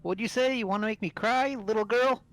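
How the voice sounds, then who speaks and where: A man's voice: sits high, a little fast, soft background music, low-quality recording. A man voicing an irritated, self-righteous male student who scolds and accuses in an amateur game dub. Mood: irritated, scolding. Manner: loud, accusatory, scolding with childish swearing substitutes. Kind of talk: amateur fully voiced game playthrough.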